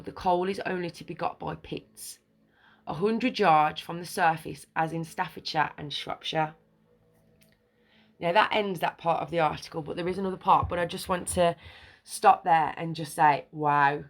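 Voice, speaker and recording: A woman with a fairly low voice reads an old article aloud, tripping over unfamiliar words and names along the way. Between passages she breaks into excited, emphatic commentary, her voice lifting with enthusiasm. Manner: stumbles over old words and place names while reading. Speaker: video creator.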